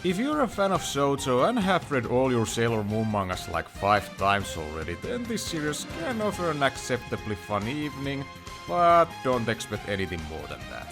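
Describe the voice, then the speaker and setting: A man's voice: mid-range voice, huge pitch swings, normal speed, over music. A man reading a scripted review as narration, opinionated and given to wry asides.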